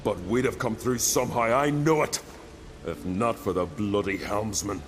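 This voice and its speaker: An actor playing a loyal, hot-headed young island warrior in a video game, with an earnest, colloquial way of telling a tale. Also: male voice, fairly low pitch, moderate pace, a little hiss or hum, natural voice. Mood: rueful, annoyed. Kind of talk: dramatic video game voice acting.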